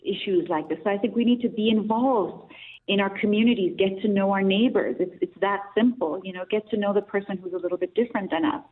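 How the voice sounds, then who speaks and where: A woman from Austria speaks as a guest on a radio interview, earnest and reflective, restarting her sentences as she works through her ideas. Her voice sits at an ordinary middle pitch. It comes through a narrow, tinny phone line. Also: fast talker. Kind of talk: radio interview, thoughtful opinion.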